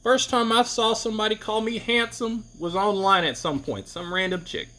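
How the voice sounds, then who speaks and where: A man's voice: fairly even intonation, moderate pace, pushed and bright. A man giving an opinionated, rambling monologue to camera, often restarting his sentences. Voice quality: deep voice. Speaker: vlogger.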